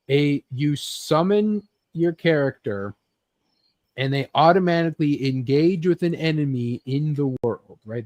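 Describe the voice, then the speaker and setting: Man, mid-pitched, host, casual sweary chat, rambling, playful reenactments, dry sound. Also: a little mellow, no echo. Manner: rambling, acts out imagined scenes with sound effects. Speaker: host leading a group chat about games and films.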